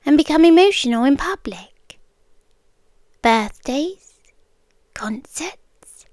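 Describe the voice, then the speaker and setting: A woman performs an ageless otherworldly girlfriend with a British accent and a high, hushed voice. She speaks very unhurriedly in an ASMR roleplay, drifting into whispers with obsessive, wistful devotion. The sound is intimate and dry, right at the microphone. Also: dry recording. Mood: amused wonder. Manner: slow, deliberate, hushed, with dramatic pauses. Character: ancient otherworldly female being, obsessively devoted, wistfully watching humans. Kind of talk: soft ASMR roleplay, half-whispered monologue. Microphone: close ASMR microphone.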